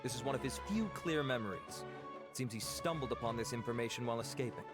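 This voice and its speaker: A man's voice: mid-range voice, quick pace, close to an everyday voice. An actor playing a dutiful male investigator in a game, speaking in a formal, respectful way. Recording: game dialogue over music.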